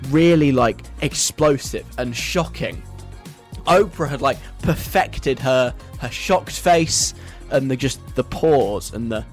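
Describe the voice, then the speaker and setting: A British man hosts a radio show with a chatty, conversational delivery. His pitch is middling and his manner is wry and self-deprecating. Light music sits behind him. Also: slow. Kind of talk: casual chatty radio presenting.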